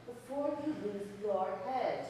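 An actress playing an anxious female accomplice who gives urgent, hushed warnings. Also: medium pitch, animated, slow pace, a little hiss or hum. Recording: echoey hall, voice from far off.

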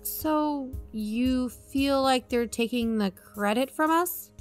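A woman's voice: sits high, slow pace, soft background music. A woman playing an assured podcast investigator in a conversational audio drama, reasoning and probing persuasively. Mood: firm, challenging. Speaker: fictional podcast co-host.

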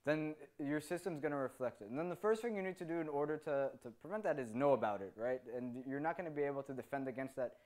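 A man's voice: a little high, fast talker, hardly any pauses. A man in his thirties whose native language is Hebrew, a conference speaker answering audience questions on a handheld microphone and often ending his points with "right?". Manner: animated, gestures with free hand, tags sentences with "right?". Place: meeting room with audience.

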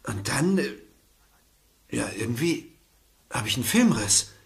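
A man's voice: mid-range voice, very animated, measured pace, vocal fry. An actor playing an impatient, suspicious young man held captive in a radio play, brusque and confrontational. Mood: irritated. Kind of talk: German radio play acting.

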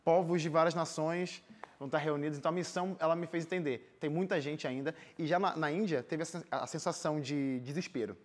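A young Brazilian man speaks quickly in a slightly high voice. He tells his experiences in a casual, animated way, often restarting phrases as a studio interview guest. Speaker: interview guest, singer and missionary volunteer. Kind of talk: casual personal storytelling in an interview. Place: TV studio, seated.

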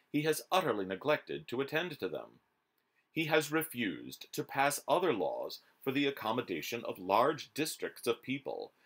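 A middle-aged man reads a formal historical text aloud. His voice sits on the low side and moves widely up and down, with a measured, declamatory delivery. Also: a bit bright. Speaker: reader. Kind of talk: reading a historical document aloud. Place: small room at home, indoors.

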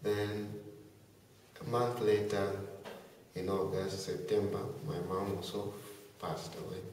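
A teenage boy from Zambia answering interview questions in a thoughtful, soft-spoken way. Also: sits low, flat delivery, slow pace, slight background noise. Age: teens. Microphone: far camera. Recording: echoey room from far off.

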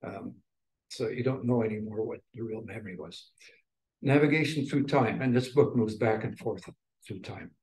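An older man from Manitoba, Canada, a poet speaking informally and reflectively at a reading, pausing between short phrases. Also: lowish voice, a little mellow, poor audio. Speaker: featured poet. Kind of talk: informal explanatory talk at a poetry reading.

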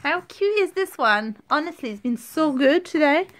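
A young woman with an excited, gushing manner, chatting casually as a vlogger and mother. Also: high voice, moderate pace. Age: young adult. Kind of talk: casual home vlog chat, talking to camera and child.

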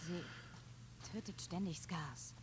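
A woman's voice: lowish voice, slow, background noise. An actress voicing a tough, guarded young woman soldier in a video game, curt and blunt. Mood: annoyed. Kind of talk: German game dub.

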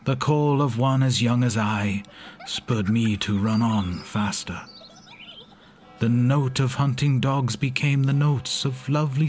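Man acting reanimated monster, horror drama, grave verse recitation, slow, anguished, noisy ambience. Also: mid-range voice, lightly acted. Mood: yearning, intense. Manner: grave, measured, rhyming recitation. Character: anguished male reanimated monster recounting his birth in verse.

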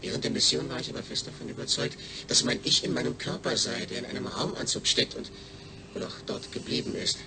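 A man's voice: fairly low pitch, a little fast, gravelly, background noise. A man playing an eager, needy astronaut's brain speaking emphatically through a speech synthesizer in a radio drama. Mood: reflective. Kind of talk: German radio drama acting.

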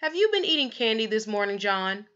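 Woman playing a stern father, bright and animated. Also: fairly high pitch, brisk, dull audio, voice pushed up. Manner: firm, questioning. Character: stern father questioning his son.

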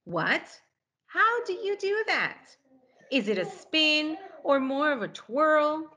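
Woman as an excitable, whiny, impatient caterpillar. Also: high voice, slight background noise, voice pushed up, full character voice. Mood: eager, pestering. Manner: pestering, exclaiming, drawn-out cries.

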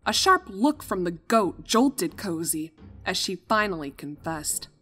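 A woman's voice: fairly low pitch, very animated, a little slow, fairly bright tone, breathy edge. Woman narrating a story over music. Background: background music.

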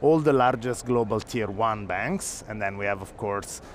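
Italian guy in his 30s, lapel mic, expo hall chatter in the back, quick and animated. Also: lowish voice, a little hiss or hum. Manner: fast, energetic, gesturing, restarts. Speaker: guest, foundation executive. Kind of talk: conference interview. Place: busy convention hall floor.

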